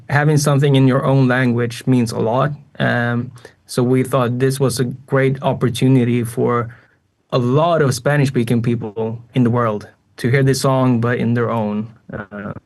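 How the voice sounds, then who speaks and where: A man from Sweden with a Swedish accent, a musician answering interview questions in a relaxed way with many fillers. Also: mid-range voice, a little slow. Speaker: musician guest.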